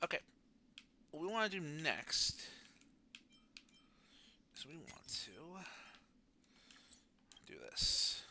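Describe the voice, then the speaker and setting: A man streaming a video game, talking casually and reading aloud in an animated, playful way. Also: on the high side, slow pace, pauses often, slight background noise. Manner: animated, playful, sound-effect exclamations. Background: faint game music.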